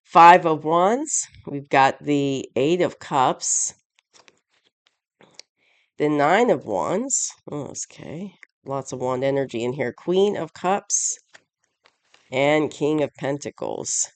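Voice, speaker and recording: A tarot reader with a raspy voice, hoarse and stuffed up from a cold, giving a casual reading and often searching for words mid-sentence. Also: medium pitch, animated, takes it slowly. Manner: rambling, searching for words with many restarts; chuckles often while explaining.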